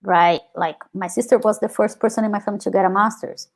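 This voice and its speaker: Brazilian woman guest, earnest, reflective, lots of "like"s, middling pitch. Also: steady tone, fast, vocal fry. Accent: Brazilian Portuguese. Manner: earnest, reflective, many filler "like"s. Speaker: guest, activist and scholar. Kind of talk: personal storytelling in conversation.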